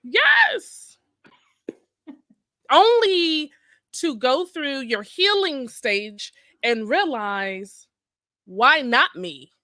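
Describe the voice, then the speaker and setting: A woman's voice: high-pitched, big pitch swings, very slow, long pauses, pushed and bright, dry recording, studio quality. A woman co-hosting a podcast in casual conversation, speaking in an emphatic, colloquial way. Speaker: podcast co-host.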